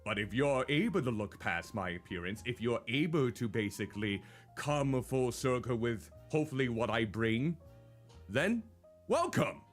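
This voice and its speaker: A chatty male streamer whose voice is naturally loud and carries at a mid pitch. He rambles on in a relaxed, self-deprecating way, with soft music faintly behind him. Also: normal speed. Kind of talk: casual stream chat.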